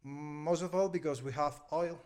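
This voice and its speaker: A Venezuelan man in his thirties, a native Spanish speaker, talking hesitantly in an interview and often pausing to find his words. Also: a little high, steady tone, a little mellow. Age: 30s. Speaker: interview guest, film editor. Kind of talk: casual interview conversation.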